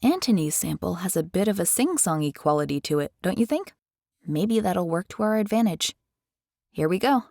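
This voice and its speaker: Brief: animated American woman, dry close sound, tutorial host. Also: lowish voice, moderate pace, vocal fry, dry recording. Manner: chatty, animated, curious. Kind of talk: casual explainer. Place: carpeted bedroom. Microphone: headset mic.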